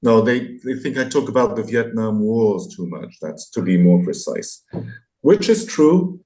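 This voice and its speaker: A man's voice: fairly low pitch, expressive, a little slow, dark tone. A man in his sixties speaking as an interview guest, reflective and articulate, thoughtful and hesitant, with a self-deprecating way of talking. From France, partly raised abroad. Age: 60s. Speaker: interview guest, graphic novelist and illustrator. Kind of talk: reflective conversational interview answers.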